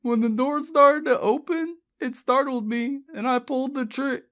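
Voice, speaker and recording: Woman playing anguished, pleading old grandmother, animated. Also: fairly high pitch, measured pace, pitched above the performer's own voice. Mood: guilty confession. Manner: pleading, emotional, emphatic. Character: sobbing, guilt-ridden elderly grandmother, firm yet anguished.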